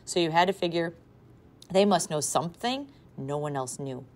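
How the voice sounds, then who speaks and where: A woman teacher reading a children's novel aloud to a class, with expressive, varied loudness. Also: low voice, moderate pace. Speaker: third grade teacher.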